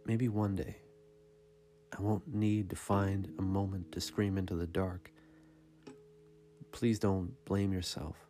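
Man, somber poetry recital, unhurried and deliberate, very little inflection, faint music behind. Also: deep voice, flat delivery, dark tone. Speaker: podcast host reading poetry. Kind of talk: dramatic poetry reading, scripted.

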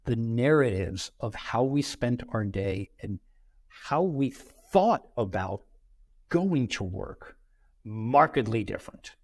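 Brief: male guest, 50s, animated anecdotal speaker, mid-pitch, dry studio mic. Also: slow, no room sound. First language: bilingual, Brazilian parents. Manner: animated, acts out quoted dialogue. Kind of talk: conversational interview storytelling.

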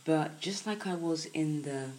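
A woman with a croaky early-morning voice giving a soft, unhurried spiritual podcast talk, with many pauses and fillers. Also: low-pitched, a little hiss or hum, poor audio.

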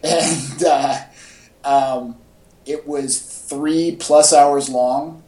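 A man co-hosting a casual podcast chat, speaking in a halting, informal fan style. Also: fairly high pitch, fairly even intonation, mellow. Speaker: podcast co-host. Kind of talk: casual chat about comics and movies. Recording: echoey, roomy podcast audio.

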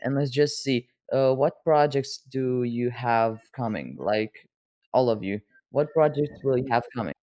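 A man's voice: mid-range voice, a little slow, darkish tone. A young man hosting a podcast, chatting loosely with upcoming rappers and asking them questions. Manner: rambling, loose, swears casually. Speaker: podcast host. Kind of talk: casual interview chat.

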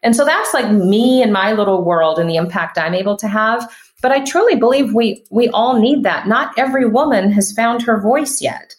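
A woman's voice: on the high side, moderate pace. Podcast guest, woman, 30s, punchy conversational delivery, echoey room. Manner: emphatic, animated, frequent restarts. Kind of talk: casual conversational interview.

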